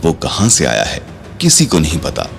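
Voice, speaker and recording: Male narrator, audio drama. Very deep, animated, suspenseful delivery over soft music. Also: moderate pace, vocal fry. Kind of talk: dramatic scripted story narration. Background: background music.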